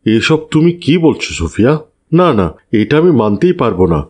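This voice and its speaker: A man's voice: medium pitch, sing-song, moderate pace, darkish tone. A man playing a proud, skeptical, stubborn landlord and father in a cartoon, speaking firmly. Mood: indignant disbelief. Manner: firm, indignant. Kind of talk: dramatized cartoon dialogue.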